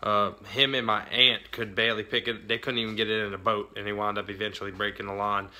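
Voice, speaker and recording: A man's voice: on the low side, flat delivery, fast, pushed and bright. A young man, talking casually to a phone camera at arm's length in a fishing vlog. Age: young adult. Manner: laid-back, drawling, loose grammar. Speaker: fishing vlogger. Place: in a boat on open water, then an indoor garage. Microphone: phone camera held at arm's length.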